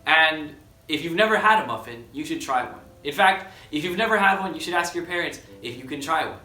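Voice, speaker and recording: A man's voice: a little high, expressive, fairly quick, sharp tone, low-quality recording. A man hosting preschool story time, reading aloud and explaining things simply and expressively to young children. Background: background music.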